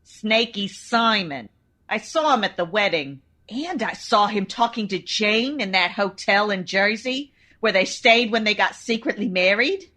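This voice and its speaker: A woman's voice: medium pitch, animated, unhurried, pushed and bright. An actress playing a brash, lovesick young chorus girl in a comedic old-time radio drama, with slangy, melodramatic tough-dame talk. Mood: earnest, scheming.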